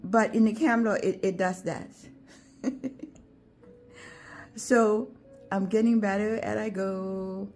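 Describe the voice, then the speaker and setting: A woman speaks English with a Thai accent, her first language being Thai. She talks gently and haltingly, often restarting, as she films a home garden tour on a handheld camera. Faint music plays underneath. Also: medium pitch, fairly even intonation. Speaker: gardening vlogger. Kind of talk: unscripted walking tour vlog.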